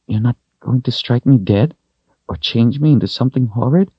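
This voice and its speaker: A man's voice: medium pitch, normal speed, slightly rough, no echo, lo-fi sound, pitched above the performer's own voice. A man voicing a frightened little servant girl in a storybook, meek, pleading and whimpering. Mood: fearful.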